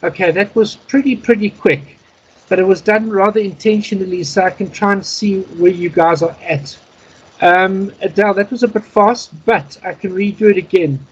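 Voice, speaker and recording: A man's voice: sits high, normal speed, highs cut off, a little hiss or hum. A man giving informal, methodical instruction in an online radio practice class. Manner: methodical, explanatory, spelling in phonetic alphabet. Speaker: Morse code instructor. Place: online video call.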